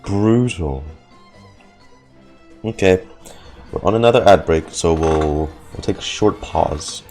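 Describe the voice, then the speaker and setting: A man's voice: low-pitched, takes it slowly, darkish tone, faint music behind. A man giving casual livestream reactions to a game, groaning and exasperated. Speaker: game streamer reacting to a story quest.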